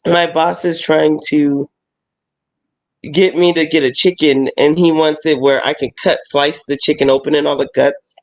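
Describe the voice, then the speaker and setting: A woman who performs at haunted attractions, talking in a relaxed, rambling way with lots of fillers and restarts. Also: low-pitched, moderate pace. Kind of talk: casual chat.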